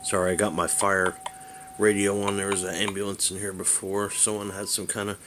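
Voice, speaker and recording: A man giving a casual hands-on demonstration as a hobbyist vlogger, halting and rambling as he thinks aloud. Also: fairly low pitch, little pitch movement, noisy background.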